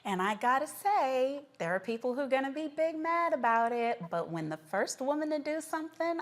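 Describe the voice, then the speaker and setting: A woman's voice: high voice, big pitch swings, moderate pace. A woman hosting a news panel show, talking in a conversational presenter's style.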